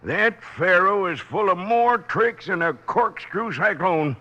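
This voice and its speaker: A man's voice: sits high, animated, slow, pushed and bright, heavily acted. An American actor playing a rattled, folksy old-West sheriff in a radio drama, colorful and emphatic in his talk. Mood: exasperated. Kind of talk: 1950s radio western acting. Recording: noisy, dull old radio recording.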